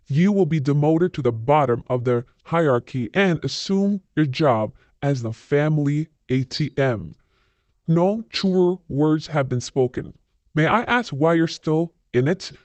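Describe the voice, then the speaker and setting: A man with a slightly high voice reads viewer comments aloud and cuts in with his own blunt, scornful verdicts. His delivery is opinionated and dismissive. The recording is dry and close, with no room sound. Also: a little mellow, no echo. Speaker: channel host reading viewer comments.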